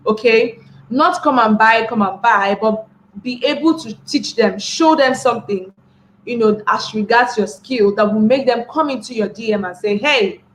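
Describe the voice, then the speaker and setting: A young Nigerian woman giving a motivational webinar talk, repeating key phrases and checking in with her listeners by asking "right?". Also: fairly high pitch. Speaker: webinar speaker. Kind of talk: motivational talk to an online class. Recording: echoey room with faint background noise.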